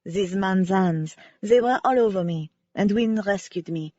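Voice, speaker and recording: A woman voicing a petite female ballerina who speaks in formal, halting English with stiff, careful phrasing, in an audiobook. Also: medium pitch, dark tone, no room sound, dull audio, natural voice. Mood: earnest.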